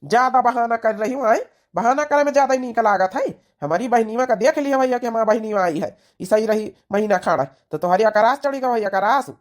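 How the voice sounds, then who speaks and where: A woman's voice: fairly high pitch, fairly quick, close to an everyday voice. A woman with an Awadhi accent voicing a bossy, dismissive young husband in a comedy cartoon, scolding and giving orders. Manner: scolding, commanding. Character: bossy, dismissive young husband who sides with his sister.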